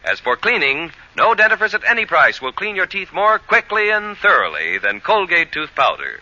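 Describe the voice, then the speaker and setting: A man announcing a 1940s radio commercial. His pitch is fairly high and sweeps dramatically. The old recording is dull and dry. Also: very animated, normal speed, bright, forceful tone, dry recording.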